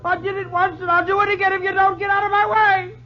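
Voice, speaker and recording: Haunted murderer cracking up, shrill and frantic, voice way up high, lo-fi 50s radio. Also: male voice, little pitch movement, fast, slight background noise. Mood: hysterical terror. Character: hysterical haunted murderer, man, cracking with terror. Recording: lo-fi 1950s radio recording.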